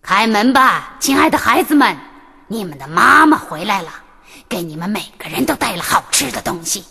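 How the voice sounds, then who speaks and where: A woman plays a sly, menacing storybook wolf. The voice is rough and gravelly with a forceful edge, swinging in pitch. It rings in a long reverb. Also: sing-song, moderate pace, full character voice. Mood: falsely coaxing. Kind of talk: children's storybook character voice. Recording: reverberant hall-like echo.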